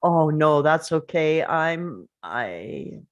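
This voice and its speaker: A woman's voice: low voice, big pitch swings, drawn-out words, lo-fi sound, heavily acted. A woman playing an awkward, polite parent overwhelmed by grief, tentative and trailing off, in a dramatic script reading. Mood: awkward, gentle.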